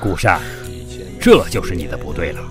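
Man acting as hearty middle-aged dad, blunt, paternal, lively intonation, faint music behind, audio drama. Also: low-pitched, moderate pace. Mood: scolding.

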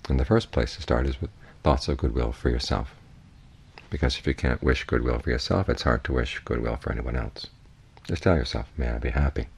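Man, extremely low pitch, husky voice, meditation teacher, measured delivery, long pauses. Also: darkish tone. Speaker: Buddhist monk, meditation teacher. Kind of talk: guided meditation talk.

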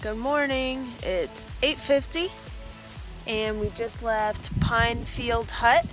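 A woman's voice: a little high, slow pace. Upbeat young woman vlogging from the trail. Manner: chatty, upbeat, trailing off with fillers. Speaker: thru-hiker vlogger. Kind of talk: casual trail vlog. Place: outdoors by a road in green woods. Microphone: phone camera at arm's length. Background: background music.

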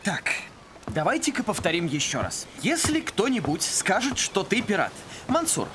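Swaggering young cartoon captain, high-pitched, wildly animated delivery, music behind. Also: male voice, normal speed, noisy background. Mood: instructing. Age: young adult. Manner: theatrical, excitable. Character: cheerful, swaggering young pirate captain, dramatic and upbeat. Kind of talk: animated film voice acting.

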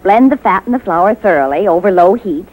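An American woman, a home economist, giving crisply instructive scripted advice in a 1950s radio advertisement. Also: medium pitch, animated, brisk, a little mellow, poor audio. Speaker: home economist, sponsor spokeswoman.

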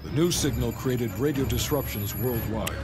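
A man's voice: mid-range voice, normal speed, close to an everyday voice. An actor playing a formal, serious male intelligence officer narrating a scripted video game briefing. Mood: grave. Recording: loud dramatic music behind.

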